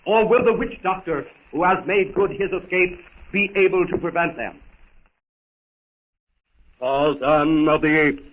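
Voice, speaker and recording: A man's voice: fairly high pitch, a little slow, long pauses. A man narrating a 1930s radio serial as its announcer, dramatic and formal. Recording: tinny, noisy 1930s radio recording.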